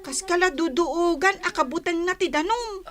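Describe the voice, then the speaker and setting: An actress with an Ilocano accent playing a feisty, sharp-tongued young girl in a radio drama. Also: very high-pitched, normal speed. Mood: teasing.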